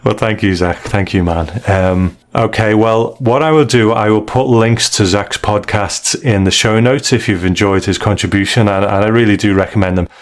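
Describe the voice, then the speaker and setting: A man with a fairly low voice talks as a podcast host. His delivery is conversational and measured, politely softening any criticism as he reviews.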